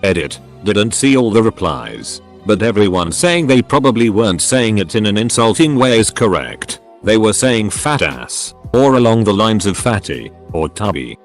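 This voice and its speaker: A man narrating Reddit posts aloud, reading the stories and their edit notes in an even, uninvolved way. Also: lowish voice, moderate pace, hardly any pauses, soft background music.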